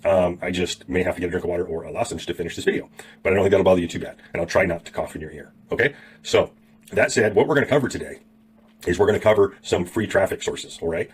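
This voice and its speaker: A hoarse man with a cold talks quickly and casually, walking his viewers through an online tutorial. His low, husky voice keeps catching, and he rambles, clears his throat and fills pauses as he goes. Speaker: YouTube affiliate marketing teacher. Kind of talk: casual tutorial talk to camera.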